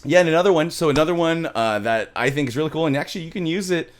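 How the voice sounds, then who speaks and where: A man's voice: a little high, expressive, rapid-fire, smooth. A middle-aged man hosting a music production tutorial in a casual, rambling way, often repeating his phrases. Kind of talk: casual screen-share tutorial talk. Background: music from the software.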